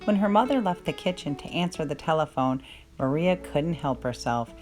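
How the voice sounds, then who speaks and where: A woman narrating a children's storybook aloud in an expressive, measured way. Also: deep voice. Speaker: children's book reader. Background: plucked guitar music.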